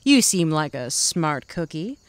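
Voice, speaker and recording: A woman's voice: sits low, big pitch swings, a little slow, clear, resonant tone. A woman playing a shrewd, unflappable senior fugitive with a wry, folksy manner in an audio comedy drama.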